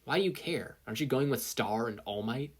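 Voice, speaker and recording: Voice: mid-range voice, expressive, a little fast, breathy edge. A narrator with an American accent voicing a snide, dry male spirit in a story reading. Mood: sarcastic. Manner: sarcastic, needling. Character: snide, dry, eye-rolling male ghost.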